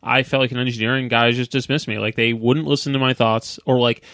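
A man co-hosting a podcast in casual chat, agreeable and quick with short affirmations. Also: medium pitch, level tone, a bit bright, dry recording, studio quality. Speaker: podcast co-host.

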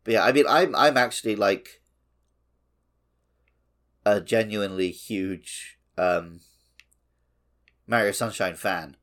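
A man's voice: lowish voice, takes it slowly, pauses often, a bit bright. A man co-hosting a gaming podcast, chatting casually in a loose, conversational way. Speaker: podcast co-host.

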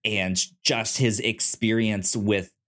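Guy with a lowish voice on a podcast, casual review chat, stammers a bit while thinking out loud. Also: fairly even intonation. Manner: halting, stammering, thinks aloud. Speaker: podcast co-host.

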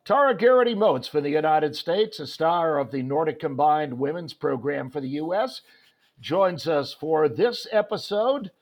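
A man's voice: a little high, lively intonation, moderate pace. A man hosting a sports interview, talking in a rambling way with many fillers and restarts. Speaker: host.

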